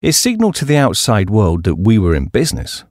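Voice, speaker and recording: A man with an English accent reads a humorous memoir as a scripted audiobook, in a measured, expressive storytelling manner. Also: fairly low pitch, no echo, clean studio sound. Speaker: audiobook narrator.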